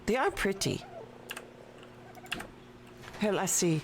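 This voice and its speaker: A woman live-streams a game from her desk at home, talking slowly and easily. She chats with viewers and her pet between moves. A faint background hiss sits under her voice. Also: medium pitch, big pitch swings. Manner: slow, chatty, talks to viewers and her pet. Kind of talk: casual live-stream chat while playing. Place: home desk with a cat nearby.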